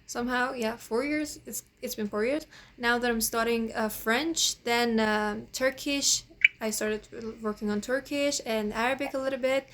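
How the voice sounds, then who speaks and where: A sixteen-year-old girl from Iran with a Persian accent, chatting casually and haltingly, often saying "you know". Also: fairly high pitch, fairly even intonation, a bit bright, slight background noise. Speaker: host, language teacher. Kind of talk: casual chat.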